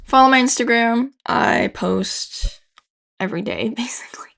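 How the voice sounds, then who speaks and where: A woman's voice: on the high side, moderate pace, vocal fry, a bit bright. A young adult woman giving casual, informal, slangy video commentary as a host. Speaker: YouTube horror video host.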